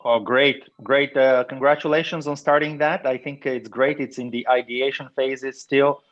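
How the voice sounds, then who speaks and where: A man from Bulgaria speaking in a measured, thoughtful way as a panelist in an online webinar. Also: medium pitch, steady tone. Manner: measured, thoughtful, some restarts. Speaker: webinar panelist asking questions. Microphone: computer mic on video call. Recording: muffled lo-fi webinar audio.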